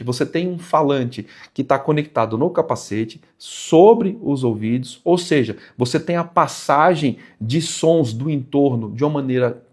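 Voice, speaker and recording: A man's voice: fairly high pitch, a little mellow. Brazilian man explaining things emphatically. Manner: conversational, emphatic, didactic with rhetorical questions. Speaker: presenter of a motorcycle channel. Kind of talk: explanatory vlog talk to viewers.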